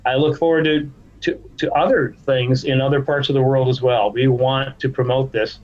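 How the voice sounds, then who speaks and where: A man's voice: mid-range voice, steady tone, moderate pace, dull audio. A man speaking conversationally as a guest executive in a podcast interview. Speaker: podcast guest, trade association chief executive.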